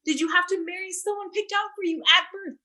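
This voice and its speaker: An actress playing an earnest, accusing activist who challenges a talk show host, in a staged play reading on a video call. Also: female voice, very high voice, steady tone, quick pace, pushed and bright, heavily acted. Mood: challenging. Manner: accusing, insistent.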